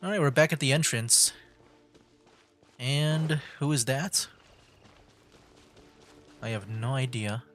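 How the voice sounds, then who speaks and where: A man with a medium-pitched voice chats casually while playing a game on stream. His delivery is laid-back and conversational, with faint music playing behind him. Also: takes it slowly, pauses often, fairly bright tone. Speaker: livestreamer playing a video game. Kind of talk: casual chat, game commentary.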